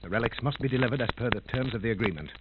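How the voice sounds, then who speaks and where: An actor playing a shrewd, cautious, commanding investigator in a 1930s radio drama, with clipped, formal speech. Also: male voice, mid-range voice, natural voice. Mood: grave, resolute. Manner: clipped, formal, deliberate in wording. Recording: noisy, muffled old radio broadcast with clicks.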